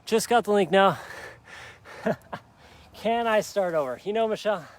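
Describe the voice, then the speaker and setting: A man's voice: sits high, expressive, a little slow. A man leading a live workout in a park, coaching upbeat and encouraging while doing the moves himself and joking about his own slip-ups. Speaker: fitness instructor. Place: outdoor park.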